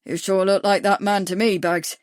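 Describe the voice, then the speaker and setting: A woman's voice: medium pitch, fairly even intonation, moderate pace, dry recording, clean studio sound, lightly acted. A woman putting on a snarling thick-accented male thug. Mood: menacing. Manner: snarling, growling threats. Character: burly menacing male tough with thick accent.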